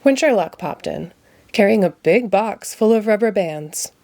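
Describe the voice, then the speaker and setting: A woman's voice: mid-range voice, animated, unhurried, a little mellow, dry recording. A woman narrating a children's picture book as a read-aloud. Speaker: storybook reader.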